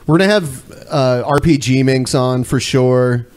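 A man's voice: medium pitch, unhurried, a little mellow. A man hosting a live-streamed podcast in casual chat, blunt and often profane. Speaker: podcast host.

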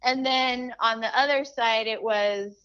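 A woman's voice: a little high, fairly even intonation, a little slow, a bit bright, clear, resonant tone, muffled recording. A woman from Chile and the United States giving earnest, emphatic, conversational interview answers as a musician, often repeating her phrases. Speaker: musician, singer-songwriter and teaching artist.

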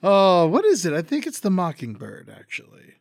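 Lively, high-voiced Florida man joking. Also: moderate pace, gravelly. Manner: animated, laughing, loose storytelling. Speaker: podcast co-host. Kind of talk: casual comedy chat.

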